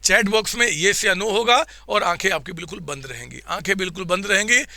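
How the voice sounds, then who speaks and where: A man teaching accounts in a live online class, with a slightly hoarse throat, quizzing his students and answering for them in a coaxing way. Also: sits high, fairly quick, bright, forceful tone, no room sound.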